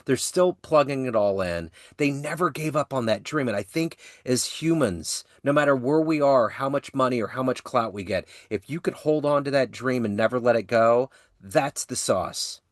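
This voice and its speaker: A man's voice: medium pitch, expressive, normal speed, few pauses, dry recording, studio quality. A man from the American Midwest hosting a podcast interview, chatting casually and enthusiastically, with loose slangy tangents. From Midwestern United States. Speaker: podcast host.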